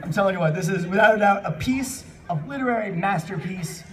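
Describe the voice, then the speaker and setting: An excitable man hosting a live comedic fan panel, reading and chatting with the audience, often bursting into shouts and laughter. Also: high voice, fairly quick, crowd noise. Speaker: panel host and fanfic reader. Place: convention panel room. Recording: distant mic in noisy convention room.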